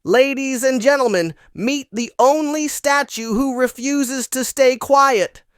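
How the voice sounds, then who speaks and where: Very high-voiced man as puppet show host. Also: slow pace, fairly bright tone, smooth, no echo, studio quality, exaggerated voice. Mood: upbeat. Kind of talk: children's comedy puppet show presenting.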